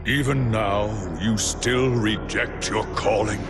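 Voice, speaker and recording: A grave robot elder in a video game. The voice sits fairly low and moves slowly, with ceremonial weight and wisdom in each phrase. Music is clearly heard underneath. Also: male voice, steady tone. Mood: grave, urging. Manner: solemn, ceremonial, measured. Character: grave, wise male robot council elder. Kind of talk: video game cutscene acting.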